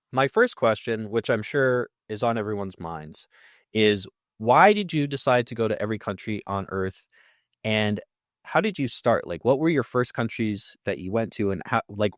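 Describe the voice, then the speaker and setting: A man's voice: on the low side, no room sound, studio quality. A man hosting a podcast in an upbeat, chatty way, often laughing lightly between his words. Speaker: podcast host.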